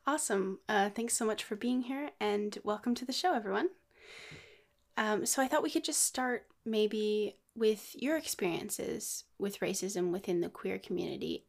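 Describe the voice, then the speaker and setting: A woman's voice: medium pitch, normal speed, creaky. A woman hosting a roundtable podcast, introducing her guests in a friendly way. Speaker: host.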